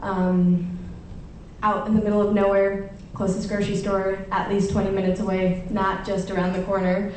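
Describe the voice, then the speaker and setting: A woman from Chile reads a prepared public comment in an earnest, formal way. Her voice is mellow and soft-edged. It comes from a distance in a very echoey meeting room with faint room noise. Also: medium pitch, flat delivery, normal speed. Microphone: lectern mic, heard from a distance.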